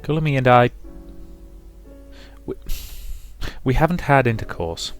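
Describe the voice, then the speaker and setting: A man voicing an insecure, affectionate, tipsy young woman who speaks in a halting, earnest, confiding way in a visual novel. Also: medium pitch, big pitch swings, faint music behind. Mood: hesitant, confiding.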